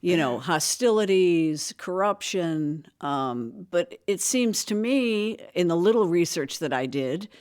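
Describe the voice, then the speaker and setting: An interviewer asks a guest short, curious questions in a calm, middle-pitched voice. The talk is plain and conversational, with a dry joke slipped in now and then. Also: slow, hardly any pauses, clear, resonant tone.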